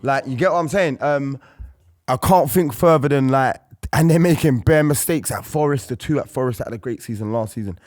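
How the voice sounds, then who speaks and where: A man's voice: mid-range voice, fairly quick, darkish tone. A man with a London accent in casual football banter, talking emphatically and full of slang. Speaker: football show panellist.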